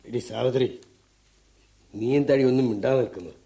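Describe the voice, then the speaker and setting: An actor playing a drunken, defiant, aggrieved husband in a radio drama, with a southern Kerala Malayalam accent and a blustering, grumbling delivery. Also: male voice, medium pitch, fairly quick, mellow, close to an everyday voice. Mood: belligerent. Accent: Kerala Malayalam, southern Travancore. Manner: blustering, grumbling, with frequent grunts. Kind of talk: mid-century Indian radio drama acting.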